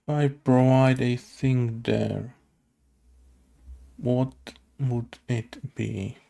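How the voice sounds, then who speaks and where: A man who develops games, thinking aloud casually as he codes, halting and muttering to himself. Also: mid-range voice, fairly even intonation, creaky, warm tone. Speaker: game developer.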